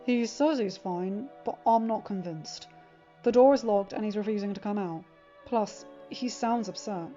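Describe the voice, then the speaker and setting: A woman's voice: medium pitch, measured pace, dark tone, soft background music, lightly acted. A woman voicing a loyal male bodyguard in an audiobook, speaking with insistent, urgent emphasis. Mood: dutiful. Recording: dull lo-fi recording.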